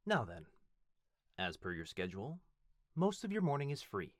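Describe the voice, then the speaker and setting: A man playing a devoted head butler who speaks formally and fondly, with gentle teasing, in an ASMR roleplay. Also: mid-range voice, wildly swooping pitch, normal speed, no echo, studio quality. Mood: attentive, polite. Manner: formal, deferential, fond, softly teasing. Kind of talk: ASMR boyfriend roleplay, scripted acting.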